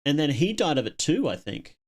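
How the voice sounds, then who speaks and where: A man's voice: on the high side, big pitch swings, a little fast. A man hosting a casual livestream, chatting to viewers and thinking aloud in a rambling, opinionated way. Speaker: livestream host.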